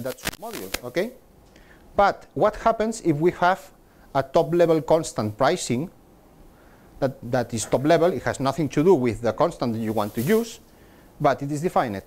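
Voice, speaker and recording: A man's voice: on the high side, a little mellow. A middle-aged man gives a technical talk to a meetup audience, often repeating words and restarting as he explains. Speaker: conference speaker. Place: meetup room with projector screen.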